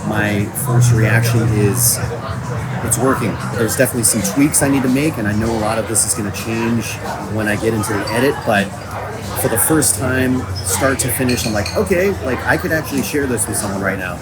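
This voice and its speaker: Man, mid-pitched voice, informal reflective vlog talk, slangy, clear background noise. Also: a little fast. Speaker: audio drama writer and creator. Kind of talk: casual behind-the-scenes video diary. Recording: noisy location recording with vehicle and ambient noise.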